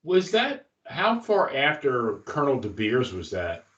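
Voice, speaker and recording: A man's voice: medium pitch, wildly swooping pitch, measured pace, a bit bright, far from the mic. A man co-hosting a wrestling talk show in casual chat, asking questions and readily agreeing. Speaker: wrestling podcast co-host. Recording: dull, roomy, off-mic.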